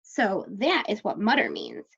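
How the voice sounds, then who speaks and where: A woman teaching young children, asking questions and explaining words in simple, deliberate terms. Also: mid-range voice, huge pitch swings, vocal fry, fairly bright tone, slightly rough, lo-fi sound. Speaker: teacher guiding young children through a story.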